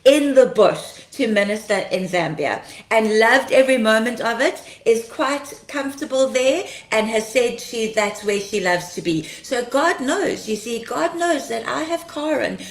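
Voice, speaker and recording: Chatty woman, slightly croaky. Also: on the high side, lively intonation, unhurried, hardly any pauses, reverberant. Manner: chatty, laughs often, greets viewers by name. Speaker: ministry livestream host. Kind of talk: casual livestream chat reading comments.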